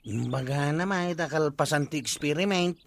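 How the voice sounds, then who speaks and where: A man's voice: a little high, moderate pace, vocal fry. A man playing an eager, scheming village man with an Ilocano accent in a radio comedy drama. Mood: planning.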